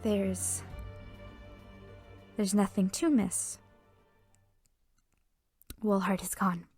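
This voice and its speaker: A woman playing a somber young village woman haunted by a massacre, in an earnest, halting audio drama delivery. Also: mid-range voice, steady tone, a little mellow, husky, full character voice. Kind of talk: dramatic audio roleplay acting. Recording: music bed under the voice.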